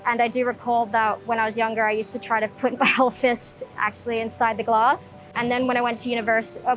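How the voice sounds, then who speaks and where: A young woman from Hong Kong speaks in a soft, reflective manner, pausing often as she tells her personal story. Her voice sits a little high. Faint music plays quietly underneath. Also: poor audio. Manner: soft-spoken, reflective, frequent ums and pauses. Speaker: interviewee sharing her recovery story. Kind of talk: personal testimony. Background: soft background music.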